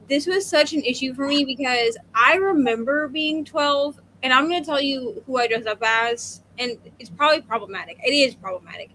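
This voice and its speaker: A woman co-hosts a relaxed book chat, telling things conversationally with plenty of asides. Her voice is high and bright, and there is slight noise behind it. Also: moderate pace, few pauses, low-quality recording. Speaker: book discussion co-host. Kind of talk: casual chat, personal anecdotes.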